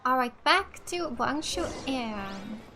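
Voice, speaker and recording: High-pitched, lively woman gamer from Finland. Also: slow pace, soft background music. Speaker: gamer, let's play host. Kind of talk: casual gameplay commentary.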